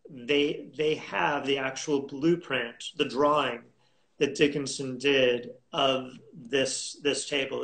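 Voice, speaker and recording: A man in his thirties talking as a guest in a video call interview, unhurried and halting with many fillers and restarts. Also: mid-range voice, creaky, a bit bright, rough recording. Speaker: auction house specialist, guest. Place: home room indoors. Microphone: video call webcam.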